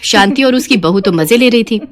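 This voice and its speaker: A woman's voice: a little high, expressive, brisk. A woman telling a moral cartoon story as a scripted narrator.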